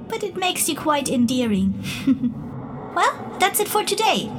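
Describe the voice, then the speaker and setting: A woman's voice: high-pitched, sing-song, slow, background noise, exaggerated voice. A woman playing a playful immortal spirit in a fantasy audio drama, teasing and condescending to mortals with frequent giggles. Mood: coy, teasing.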